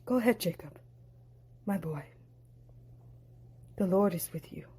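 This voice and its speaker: A woman voicing a gentle elderly father who speaks slowly and solemnly as he gives a blessing. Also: fairly low pitch, animated, breathy edge, exaggerated voice. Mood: tender.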